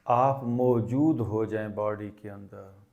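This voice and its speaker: A man leading a guided meditation as a teacher, speaking deliberately and instructively in short phrases with pauses. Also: on the low side, gravelly, a little mellow, dull audio.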